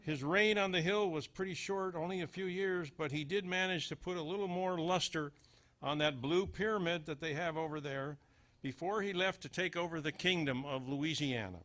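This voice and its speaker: A man's voice: fairly high pitch, moderate pace, a bit bright. A man delivering a scripted humorous small-town monologue as a storyteller, with folksy, dry understatement. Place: live before an audience.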